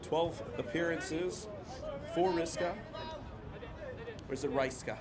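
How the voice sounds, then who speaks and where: A young American man doing brisk, chatty play-by-play commentary on a student football match. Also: fairly high pitch. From United States. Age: young adult. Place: outdoor football ground. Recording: live outdoor broadcast with loud crowd. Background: loud crowd noise.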